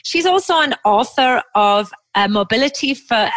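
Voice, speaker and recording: A woman's voice: a little high, animated, moderate pace, a bit bright, no echo. A woman in her forties hosting a podcast, chatting casually and bubbly with a guest over a video call. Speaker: podcast host. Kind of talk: casual interview chat. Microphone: close podcast mic, video call.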